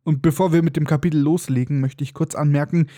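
A sarcastic man recaps a book chapter with mocking asides and a stuffy, congested nose. His voice is mellow and slightly raised in pitch. It sounds close and dry, with no room around it. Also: fairly even intonation, fast, no room sound. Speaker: podcast host. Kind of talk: comedic book-recap podcast.

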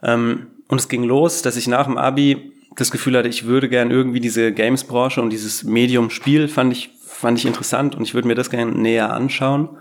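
A man's voice: mid-range voice, level tone, normal speed, few pauses. A young adult man, a game designer and podcast guest, telling his story in a rambling, self-deprecating way. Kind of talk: casual podcast interview. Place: Berlin.

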